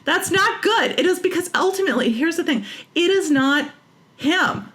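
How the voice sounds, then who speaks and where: A woman's voice: very high voice, lively intonation, normal speed, a bit bright. An American woman talking casually and emphatically as an interview guest, giving opinions and repeating phrases for stress. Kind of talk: casual, opinionated creative talk.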